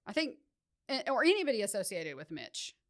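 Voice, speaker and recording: A woman's voice: medium pitch, sing-song, fairly quick. A woman co-hosting a casual true-crime podcast, blunt and wry, laying out case details and tacking on "allegedly". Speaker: podcast co-host presenting case research.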